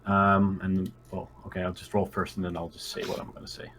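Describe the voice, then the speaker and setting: A man's voice: low voice, monotone, brisk, noisy background. A man chatting casually as a player in a tabletop roleplaying game.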